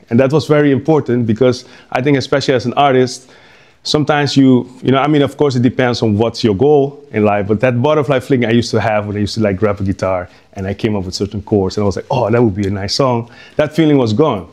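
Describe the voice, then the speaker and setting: A man's voice: medium pitch, fast talker, a little mellow. A middle-aged man from Curaçao giving an informal artist talk to an audience, rambling and hesitant, with many fillers and restarts.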